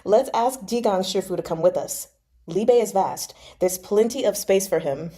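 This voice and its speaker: A woman's voice: mid-range voice, normal speed, warm tone, close to an everyday voice. A woman reading the part of a devoted, restless young nobleman in a storybook manner. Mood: tender, wistful. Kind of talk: expressive audiobook-style novel reading.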